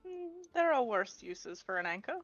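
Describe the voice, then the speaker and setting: A woman playing in a tabletop game, making quick joking remarks in casual banter. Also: medium pitch, very animated, dry recording, dull audio, faint music behind. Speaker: player.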